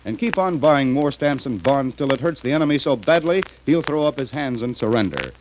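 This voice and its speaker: Animated 1940s radio announcer, North American man. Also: medium pitch, a little fast. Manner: folksy, earnest salesmanship. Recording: faintly noisy 1940s radio recording.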